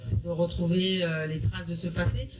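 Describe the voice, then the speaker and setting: A woman's voice: on the low side, little pitch movement, moderate pace, crowd noise. Middle-aged woman teacher from africa, earnest, keeps restarting, mic kinda far off. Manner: earnest, explanatory, with frequent restarts. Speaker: history-geography teacher. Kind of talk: interview answers. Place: evening school event. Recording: distant mic with noisy room.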